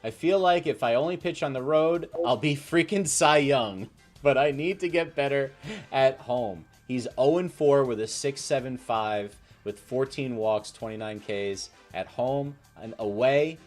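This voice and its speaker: Male sports show host. Conversational presenter, medium pitch, light music underneath. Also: a little slow, darkish tone. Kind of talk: casual topic presentation.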